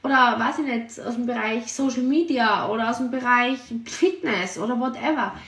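An energetic woman gives a motivational talk in a high, shrill voice and hammers her points home with repetition. She sits a little away from the microphone. The room around her is echoey. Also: normal speed, recorded from a distance. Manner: energetic and emphatic, piles up repeated words. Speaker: podcast host and sales team leader.

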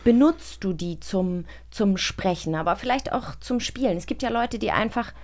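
A woman's voice: mid-range voice, lively intonation, moderate pace, darkish tone. A woman co-hosting a casual interview podcast, bantering in a playfully bossy, teasing way. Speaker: podcast co-host.

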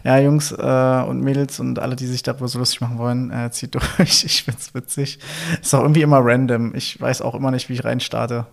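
A young man with a Berlin accent chatting on a podcast at home. His voice sits mid-range, and he talks in a loose, relaxed way, full of "ähm" and small laughs. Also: medium pitch, a little fast, gravelly. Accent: Berlin German. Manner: relaxed, chatty, many fillers and chuckles. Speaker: podcast co-host. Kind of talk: casual couple podcast chat.

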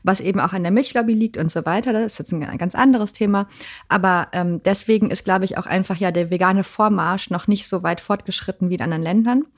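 Chatty woman founder, lots of fillers. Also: mid-range voice. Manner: chatty, many fillers, quick asides. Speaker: founder and podcast guest. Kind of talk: casual interview chat.

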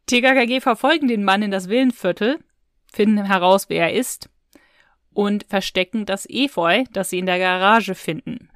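Female podcast co-host, casual discussion style, average pitch, very dry sound. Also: normal speed, dry recording.

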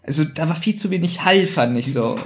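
A man co-hosting a casual review chat, critical and quick to interject. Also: a little high, animated. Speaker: podcast co-host.

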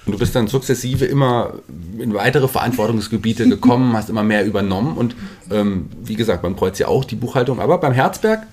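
A man's voice: medium pitch, brisk, few pauses, a little mellow. A man hosting an interview, chatting and asking questions in a friendly way. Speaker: podcast host. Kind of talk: casual interview chat.

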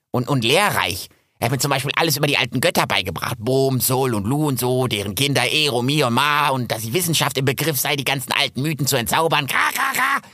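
A man's voice: fairly high pitch, dry recording, clean studio sound, pitched above the performer's own voice. Kip, a man doing a chattering foul-mouthed squirrel. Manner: excitable, crude cursing, rambling. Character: chatty cheeky male squirrel, quick-tempered.

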